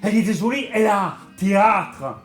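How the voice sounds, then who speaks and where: A man's voice: high-pitched, normal speed, faint music behind. A man acting in a comedy sketch, naive and earnest, with a stuffy cold.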